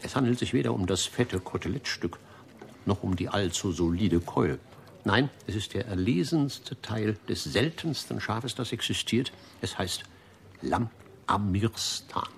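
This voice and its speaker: An actor playing a pompous, fastidious gentleman gourmet in a German radio drama, lecturing formally and rhapsodizing about food. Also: fairly low pitch, lively intonation, creaky, a little hiss or hum. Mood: reverent, rapt. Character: pompous, fastidious gentleman gourmet, obsessively devoted to one restaurant.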